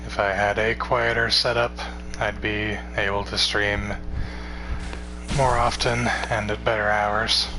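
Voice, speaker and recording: A tired man of twenty-one chatting casually with stream viewers in a rambling, relaxed way, swearing now and then. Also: on the low side, measured pace, music in the background, rough recording.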